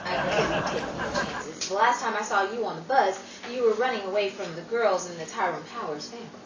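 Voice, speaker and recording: A woman playing an excitable, exasperated and dryly sarcastic best friend in a 1940s-style radio comedy. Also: mid-range voice, brisk, distant mic, lightly acted. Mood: excited, incredulous. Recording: noisy, echoey room, off the mic.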